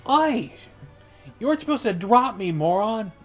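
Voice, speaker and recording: A man's voice: sits high, animated, moderate pace, faint music behind, pitched above the performer's own voice. A man voicing a stubborn, sarcastic teenage girl who complains bluntly in a story reading. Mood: brash indignation.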